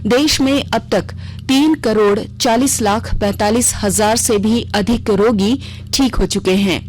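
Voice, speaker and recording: A woman's voice: on the high side, a little slow, a little mellow, poor audio. A woman reading a scripted regional news bulletin on the radio as the news reader.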